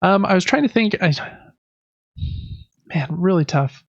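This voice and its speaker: Man, podcast host, fairly high voice, vocal fry, casual rambling talk, self-correcting, muffled lo-fi recording. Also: animated, unhurried, no echo. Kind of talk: casual chat about video and board games.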